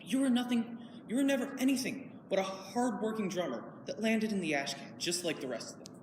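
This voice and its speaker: A woman performing a monologue as a bitter grown son who pleads with his father, swinging between loud and quiet. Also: mid-range voice, lively intonation, fairly quick, background noise, lightly acted. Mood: anguished. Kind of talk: audition monologue. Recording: echoey hall, from far off.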